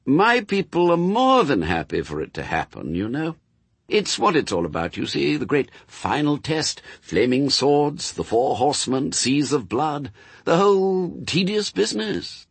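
Casting: male reader as prim, courteous angel for a storybook, highly expressive intonation, dry close sound. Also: unhurried, slightly rough, no room sound, heavily acted. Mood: resigned unease. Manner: polite, old-fashioned, mannered phrasing.

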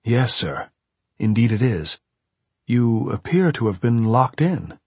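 A man's voice: medium pitch, very animated, takes it slowly, a little mellow, slightly rough, no room sound, voice pushed up, exaggerated voice. A man voicing a mild, well-bred ghostly servant in an audiobook, formal, coldly polite and insinuating. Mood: mild, courteous. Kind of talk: audiobook character voice.

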